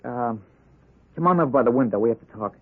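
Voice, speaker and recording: An actor playing a loyal, eager, flustered aide in an old-time radio drama, halting, slangy and earnest. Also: male voice, mid-range voice, expressive, husky. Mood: uneasy, urgent. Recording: tinny, noisy old radio recording.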